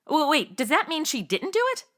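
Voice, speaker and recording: Bright, sassy young sidekick. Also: female voice, sits high, big pitch swings, brisk. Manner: sassy, casual modern slang. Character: brash, nosy, flippant modern young woman sidekick. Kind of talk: comedy audio drama acting.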